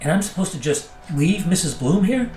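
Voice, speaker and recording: A man's voice: high voice, animated, normal speed. A man playing a conflicted, skeptical pilot in an audio drama, hesitant and incredulous as he resists a scheme. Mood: doubtful. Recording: roomy sound with music underneath.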